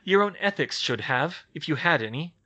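Guy doing a fiery young witch, way up high, snapping and clipped, really lively. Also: normal speed, heavily acted. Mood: heated. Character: sharp, clever young woman witch.

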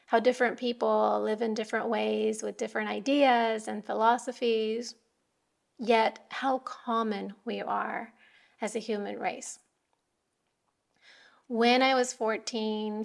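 A middle-aged woman from Japan with an American accent, hosting a podcast from home and telling her personal story in a soft, reflective way. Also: fairly high pitch, vocal fry. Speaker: podcast host.

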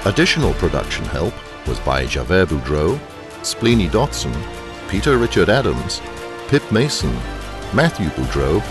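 Male credits announcer, low voice, animated melody, scripted delivery over a music bed. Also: normal speed. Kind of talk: scripted end-credits narration for an audio drama.

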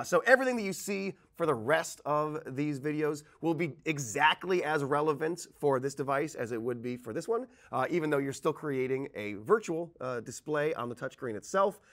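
Higher-voiced man teaching a tutorial. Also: a bit bright. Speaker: software training instructor. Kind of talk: conversational video tutorial. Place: indoor presentation studio at a desk. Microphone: lapel mic.

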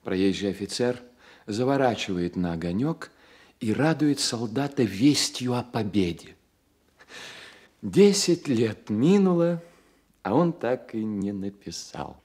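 An actor playing a proud, witty young poet in a radio drama, with measured, declamatory delivery. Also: male voice, fairly low pitch, expressive, darkish tone, slightly rough, exaggerated voice. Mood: reflective. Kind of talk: Soviet radio drama acting.

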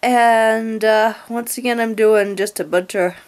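A woman's voice: on the high side, steady tone, measured pace. A woman in her thirties with a stuffy cold, chatting casually in a rambling way from bed into a webcam. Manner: rambling, with frequent ums and light laughs. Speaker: vlogger. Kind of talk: casual vlog chat. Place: bedroom, indoors. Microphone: webcam at close range.

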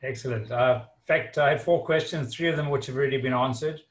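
A man's voice: mid-range voice, fast. A man speaking conversationally as a webinar attendee, giving feedback and asking a question over a call. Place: indoor room, remote call. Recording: roomy, echoing call audio.

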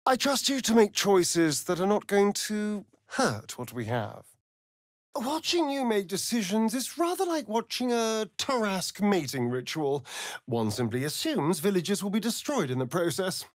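A man's voice: sits high, big pitch swings, unhurried, no room sound, studio quality. A male actor playing a sardonic, flirtatious vampire rogue in a video game, with an arch, mocking, theatrical drawl. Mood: sincere, measured. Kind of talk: video game character voice lines.

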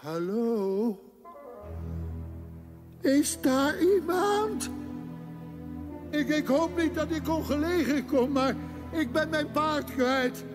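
A man playing a timid, bumbling old father in an animated film dub, polite, flustered and stammering. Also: very high-pitched, takes it slowly, long pauses, music in the background, heavily acted. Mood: hesitant.

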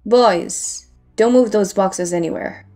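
A woman's voice: medium pitch, slow, mellow, soft background music, natural voice. A woman acting a guarded, commanding pirate captain in a dramatic audio roleplay, with a curt, bossy manner. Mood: firm, angry.

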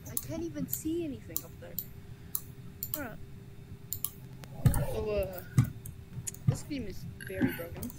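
A woman's voice: medium pitch, very animated, slow, pauses often, noisy background. A woman playing a VR game, chatting casually and muttering to herself in fluster. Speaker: game player. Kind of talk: casual gaming chat.

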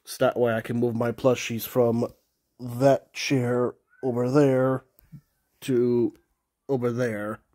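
A man's voice: mid-range voice, little pitch movement, slow, dark tone. A man with a cold and a nasal voice, rambling casually as he films his bedroom on a phone for his vlog. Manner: rambling, restarts and fillers, polite sign-off. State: has a cold, nasal and sniffly. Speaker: vlogger. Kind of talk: casual off-the-cuff vlog narration. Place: small cluttered bedroom indoors. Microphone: phone camera held while filming the room.

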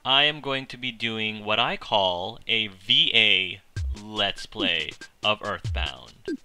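A man with a very bright, forward voice introduces a gaming video over soft music. He sits a little low in pitch and talks casually but emphatically, as an enthusiastic Let's Play host. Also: expressive, takes it slowly, clean tone. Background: video game music.

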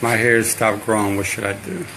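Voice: medium pitch, little pitch movement, moderate pace, vocal fry, fairly bright tone, reverberant, a little hiss or hum. A person off camera reading out viewers' comments and adding brief remarks.

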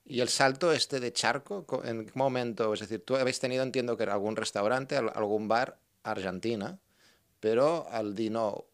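Catalan radio host, stammering restarts. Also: male voice, mid-range voice, moderate pace, clear, resonant tone. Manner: switches between Catalan and Spanish, stammering restarts. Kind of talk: casual radio interview. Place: radio studio. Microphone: studio microphone with headphones.

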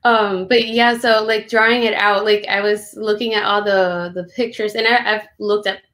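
A young woman speaks in a casual, chatty way at an average pitch. She sounds like an illustrator in informal conversation, recorded indoors at home on a video call. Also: steady tone, normal speed, a bit bright. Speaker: children's book illustrator. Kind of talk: casual conversational book talk. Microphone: video call, computer at desk distance.

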